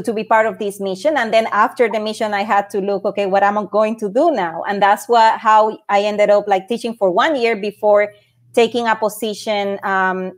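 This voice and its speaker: A woman from Puerto Rico with a Puerto Rican Spanish accent, telling her career story as a panel guest over a video call, earnest and often restarting her words. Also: medium pitch. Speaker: panel guest, space project manager. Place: home office, indoors.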